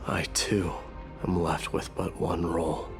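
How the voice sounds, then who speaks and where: Deep, gravelly, brooding warrior prince. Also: male voice, little pitch movement, faint music behind. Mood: grim resolve. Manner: grave, measured, introspective. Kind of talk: dramatic video game monologue.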